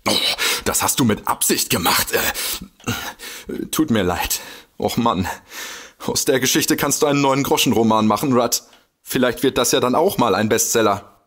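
An actor playing a smug, bumbling amateur detective in a comedic audio drama, theatrical and easily exasperated. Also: male voice, mid-range voice, moderate pace, fairly bright tone, natural voice. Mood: sulky.

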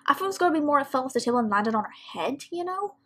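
A woman's voice: high-pitched, sing-song, racing, fairly bright tone, faint music behind. A woman giving casual, off-the-cuff commentary as host of a reaction video.

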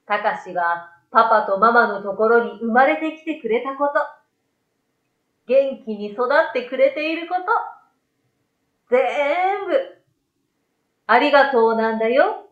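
A woman's voice: on the high side, measured pace, long pauses, echoey room, dull audio, close to an everyday voice. A woman voicing a caring, motherly mum who scolds and soothes in a storybook reading. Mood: gentle, explaining. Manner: motherly, gentle explaining.

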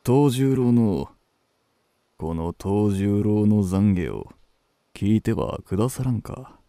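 Lovestruck kabuki actor, period radio drama. Archaic, theatrical, very slow, dry close sound. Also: male voice, fairly low pitch, big pitch swings, warm tone, dry recording, exaggerated voice. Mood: ardent confession. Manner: archaic, theatrical, drawn-out delivery. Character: intense Genroku-era kabuki actor confessing forbidden love.

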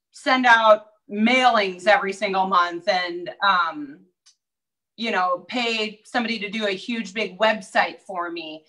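A woman's voice: mid-range voice, pushed and bright, poor audio. A woman with a Minnesota accent, a real estate agent chatting upbeat as a guest over a video call. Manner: chatty, upbeat, many fillers. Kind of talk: casual interview chat.

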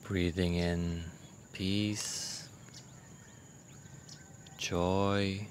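A man leading a guided meditation in a gentle, unhurried manner, with long pauses between short phrases. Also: low-pitched, flat delivery, a little hiss or hum. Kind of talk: guided meditation, soothing instruction.

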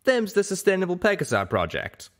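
A man's voice: high voice, huge pitch swings, a little fast, voice pushed up. A man voicing a loyal, plucky male pegasus cowboy with a drawling country dialect in a story reading. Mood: matter-of-fact.

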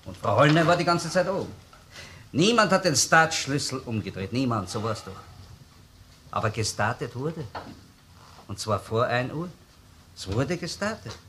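A man's voice: mid-range voice, very animated, moderate pace, long pauses, a bit bright, a little hiss or hum. A man playing a sardonic, relentless police interrogator in a radio crime drama, with a Viennese accent and taunting, repetitive questions. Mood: accusing. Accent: Austrian, Viennese. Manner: taunting rhetorical questions, repeats words, tag questions. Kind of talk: German-language radio crime drama acting.

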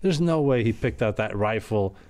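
A man's voice: on the low side, expressive, normal speed, a little mellow. A middle-aged man from Belgium sits at a studio microphone telling a long, loose story as a podcast guest. His delivery keeps wandering, and he leans on "you know" between the beats of the anecdote. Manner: rambling anecdotes with frequent "you know" and laughs. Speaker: guest musician. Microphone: studio mic on boom arm.

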